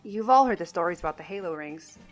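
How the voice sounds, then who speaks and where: A woman playing a seasoned, level-headed marine sergeant in an audio drama, matter-of-fact and steady. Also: on the low side, fast talker, faint music behind, natural voice. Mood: cautioning.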